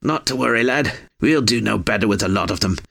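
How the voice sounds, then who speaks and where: A male narrator voicing a rough-spoken, terse man with dry, folksy speech. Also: on the low side, brisk, bright, forceful tone, heavily acted. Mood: reassuring. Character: gruff rough-spoken man, laconic scout.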